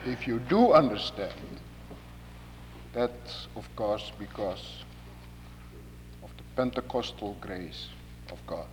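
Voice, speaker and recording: A man from Indonesia with an Indonesian accent, his first language, preaching a sermon in measured, deliberate phrases with dry humor. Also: lowish voice, expressive. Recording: long hall reverb with faint hum.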